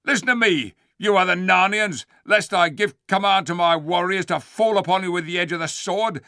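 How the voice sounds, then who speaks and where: A man's voice: sits high, moderate pace, pushed and bright, smooth, no echo, studio quality, pitched above the performer's own voice. A man voicing a stern, commanding warrior captain who threatens a crowd. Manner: thundering, threatening.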